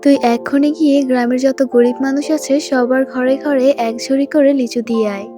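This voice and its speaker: Cartoon mother, woman performer: caring, earnest, motherly, higher-pitched, music clearly behind. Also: steady tone, a little fast, close to an everyday voice. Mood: earnest, generous. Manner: gentle motherly endearments, earnest. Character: poor, caring, generous village mother. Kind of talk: children's cartoon voice acting. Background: children's music.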